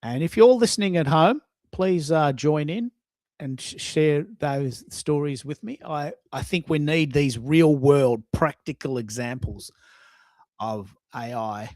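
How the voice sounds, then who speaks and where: A man with a fairly high-pitched voice hosts a casual live audio show. The sound is dry, with no room echo. He thinks aloud, stumbles and repeats phrases while speaking to his listeners. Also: a little mellow. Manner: halting, repeats himself, talks to listeners.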